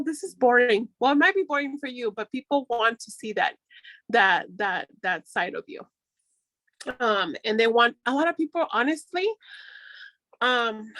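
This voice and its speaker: A Mexican-born woman whose first language is Spanish gives social media tips in a friendly, chatty way. Her voice sits a little high and has a bright, forceful edge. The sound is dry and close, with little room tone, and she often restarts her sentences. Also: dry recording, rough recording. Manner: chatty and encouraging, with frequent restarts. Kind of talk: casual workshop chat.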